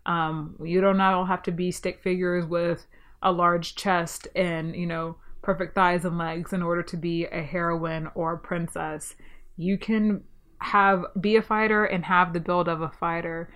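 A woman's voice: fairly low pitch, level tone, unhurried, fairly bright tone. Stuffy-nosed, chatty young woman podcaster. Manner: enthusiastic, chatty, frequent ums. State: slightly stuffy from a cold. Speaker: podcast host. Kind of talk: solo podcast review.

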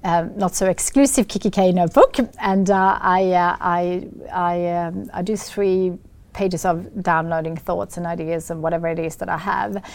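A middle-aged woman from Sweden with a Swedish accent, talking in an interview as a guest, often pausing with fillers and restarting. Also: on the low side, fairly even intonation, darkish tone. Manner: hesitant, many fillers and restarts. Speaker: guest, business founder. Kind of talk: casual interview talk.

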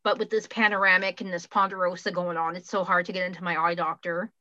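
A woman's voice: on the low side, little pitch movement, quick pace, bright, forceful tone, lo-fi sound. A woman from Canada with a Canadian accent, co-hosting a podcast in casual chat, blunt and swearing freely. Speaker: podcast co-host.

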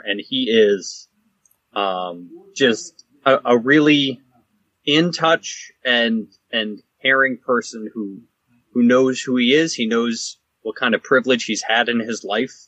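A man co-hosting a podcast in casual conversation, halting and repeating words as he goes. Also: medium pitch, a bit bright, no echo, lo-fi sound. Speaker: podcast co-host.

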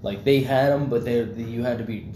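A man's voice: mid-range voice, normal speed. A young man in casual chat, rambling loosely with lots of fillers and swearing. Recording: echoey room, faint background noise.

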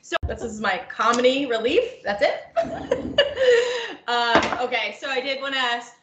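A woman's voice: on the high side, expressive, measured pace, dull audio, noisy background. A woman hosting a podcast in casual, chatty conversation, telling stories in a giggly way. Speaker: podcast host. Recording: roomy, echoing sound.

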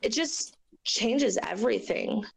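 A young woman with a raspy, hoarse voice from a chest cold, sharing her story as an interview guest in a halting, earnest way with many fillers. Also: a little high, animated. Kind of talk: personal testimony in a podcast interview.

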